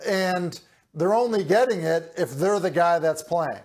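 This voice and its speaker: A man in his forties, a studio analyst, arguing his sports take deliberately on a talk show. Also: sits high, animated, darkish tone. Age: 40s. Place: remote studio set.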